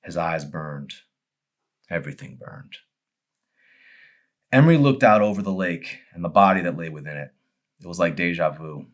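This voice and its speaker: A man, an author presenting and reading his own novel, with dramatic, deliberate phrasing. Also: sits low. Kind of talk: audiobook-style narration with casual introduction.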